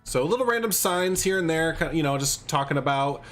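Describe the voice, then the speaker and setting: A stuffed-up man chats away while he plays through a game on stream, thinking out loud and drifting from one thought to the next. He fills the pauses with little hummed tunes. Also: on the high side, lively intonation, faint music behind. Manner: rambling and chatty, thinks aloud, hums filler tunes. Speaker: livestreamer. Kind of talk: casual gameplay livestream chat.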